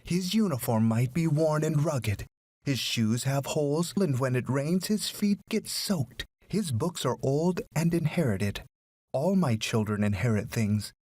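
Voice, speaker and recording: A man voicing a proud, dignified widowed foster mother in a storybook, speaking in an earnest, measured, emphatic way. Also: on the high side, a little mellow, no echo. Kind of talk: audiobook story character.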